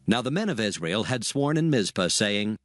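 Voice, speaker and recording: A man's voice: lowish voice, very animated, moderate pace, no room sound, clean studio sound. A man reading dramatized scripture as the narrator, in a scripted storytelling style.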